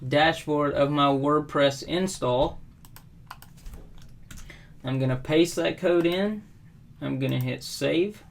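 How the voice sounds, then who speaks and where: A middle-aged man from South Carolina walking through a software setup at his desk, relaxed and unhurried, with frequent ums and uhs. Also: mid-range voice, gravelly, slight background noise. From South Carolina, USA. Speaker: WordPress tutorial host. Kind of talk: casual screen-recorded software tutorial. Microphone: webcam overlay at desk.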